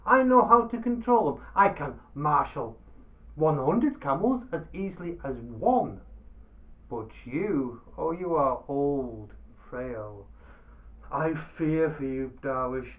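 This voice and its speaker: Storybook camel driver male reader acting: blustering, greedy, falsely concerned, emphatic and unhurried, on a thin phone-like recording. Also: fairly high pitch, animated. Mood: wheedling, insistent. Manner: exclamatory, emphatic, drawn-out pleading.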